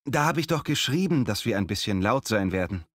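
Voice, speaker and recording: A man playing an apologetic twenty-year-old neighbour in a clearly articulated language-lesson dialogue, speaking politely. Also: on the low side, expressive, fairly quick, a bit bright, no echo, studio quality.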